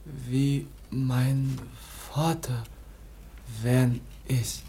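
A dazed, halting teenage boy. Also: mid-range voice, steady tone, mellow, a little hiss or hum. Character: dazed exhausted teenage boy, barely able to speak. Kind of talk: radio play acting.

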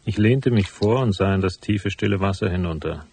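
An actor playing a cool, laconic private investigator who narrates his case in a radio drama, with a husky voice. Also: low voice, brisk, mellow, heavily acted. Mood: cool, brooding. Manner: laconic, matter-of-fact.

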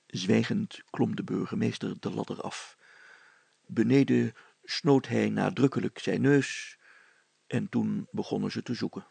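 A man's voice: on the low side, unhurried, vocal fry, darkish tone, breathy edge, no room sound. A man reading a children's story as its narrator, in scripted storytelling style.

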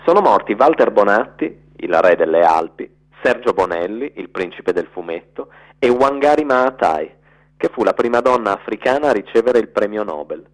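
Expressive low-voiced man reading. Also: normal speed, poor audio. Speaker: narrator reading a literary radio piece. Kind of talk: expressive literary reading of a letter.

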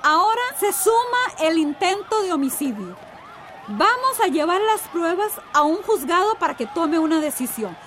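A woman's voice: very high-pitched, very animated, normal speed, a bit bright, a little hiss or hum, exaggerated voice. A woman in a radio drama playing an authoritative official addressing people in a declamatory way. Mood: authoritative, calming. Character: female official calming a crowd, announcing measures firmly.

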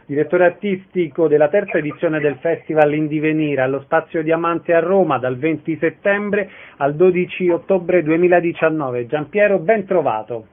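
A man's voice: fairly high pitch, moderate pace, hardly any pauses. A man presenting and asking questions as a radio interviewer, with a phone-like sound.

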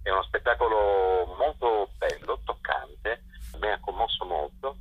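Low-voiced man on a muffled phone line. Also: animated, unhurried, breathy edge. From Italy. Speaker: festival director being interviewed. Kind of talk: unscripted radio interview, presenting a programme.

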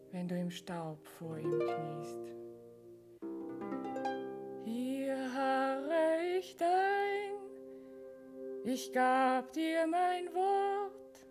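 A woman singing as a faithful, devoted young woman who waits, soft and tender, over orchestral music. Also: high voice. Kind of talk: singing a lullaby-like song with orchestra.

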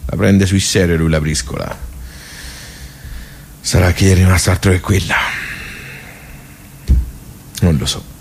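A man's voice: deep voice, steady tone, unhurried, creaky, long pauses, a little hiss or hum. A man playing a wry, nostalgic regular of a workers' club in a radio monologue, colloquial and rambling, with a Tuscan accent. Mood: chatty, confiding. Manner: rambling, colloquial, self-interrupting. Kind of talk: radio theatre monologue, conversational.

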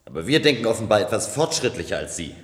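Gruff suspicious explorer, low voice, stern, German radio drama, echoing hall. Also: male voice, big pitch swings, fast talker. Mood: challenging. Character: wary, gruff male intelligence-minded explorer. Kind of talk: German radio play acting.

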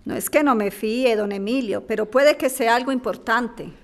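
An actress plays a polite, self-respecting cleaner in a radio drama. She has a fairly high voice. She speaks with patience, deferential yet firm. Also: moderate pace, close to an everyday voice. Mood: firm, respectful. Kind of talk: acted audio drama dialogue.

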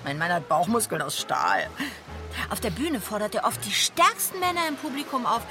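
An actress in a German radio drama playing a proud, chatty wife with a breezy, teasing manner. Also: female voice, medium pitch, big pitch swings, normal speed, lightly acted. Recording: music behind the voice.

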